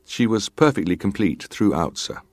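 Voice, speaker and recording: Deep-voiced, clipped ship's officer. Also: male voice, big pitch swings, normal speed, a little mellow, no room sound, studio quality. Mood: dutiful, firm. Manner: clipped, formal, matter-of-fact. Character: British ship's officer testifying, terse, guarded, formally respectful. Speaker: actor reading a witness's testimony. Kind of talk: dramatised reading of hearing testimony.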